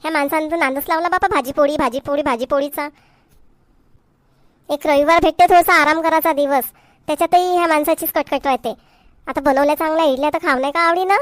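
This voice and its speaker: Woman voicing exasperated village wife, Varhadi Marathi accent, comedy cartoon, extremely high pitch, quick scolding delivery, dry sound. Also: fairly even intonation, dry recording, close to an everyday voice. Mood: fed up. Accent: Varhadi Marathi from Vidarbha. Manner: scolding, complaining, sharp-tongued. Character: exasperated, hard-working village wife and mother who talks back.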